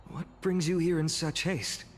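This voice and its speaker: A man's voice: on the high side, fairly even intonation, normal speed, close to an everyday voice. An actor playing a polite, earnest, mild-mannered man in video game dialogue, speaking courteously and formally.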